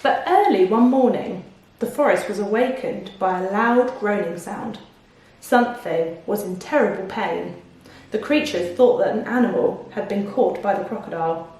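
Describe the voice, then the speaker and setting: A woman's voice: mid-range voice, moderate pace, darkish tone. A woman reading a children's story like a teacher, expressively, stopping to put questions to young listeners. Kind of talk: children's storybook narration with asides. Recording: very echoey room, distant microphone.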